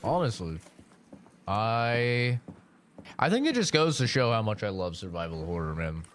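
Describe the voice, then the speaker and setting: A man streaming a game, talking casually with a raspy, deeper-than-usual morning voice, rambling with lots of "like" and "man". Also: very animated, unhurried, fairly bright tone, a little hiss or hum. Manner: rambling, filler-heavy, says "like" and "man" often. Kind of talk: casual gameplay livestream commentary.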